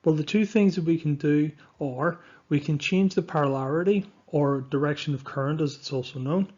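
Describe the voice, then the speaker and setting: A man narrating a lesson as a science teacher, speaking in an instructive, deliberate way with emphatic stresses, on a dull recording. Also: a little high, darkish tone. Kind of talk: scripted lesson narration over slides.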